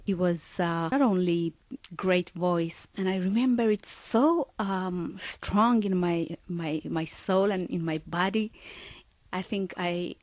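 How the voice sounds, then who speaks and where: A woman from Iran, a singer being interviewed, who speaks haltingly and often stops to search for words. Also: on the low side, fairly even intonation, a little mellow, no room sound. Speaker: singer guest.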